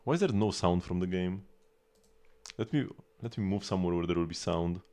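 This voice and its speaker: A man casually commentating on a game livestream, thinking aloud haltingly and repeating words as he goes. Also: low voice, darkish tone. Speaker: live streamer.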